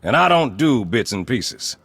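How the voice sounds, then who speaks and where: Gravelly, lively marine sergeant. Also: male voice, mid-range voice, unhurried, no room sound, heavily acted. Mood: exasperated, concerned. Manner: wry, commanding. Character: gruff, wisecracking male marine sergeant major, tough veteran.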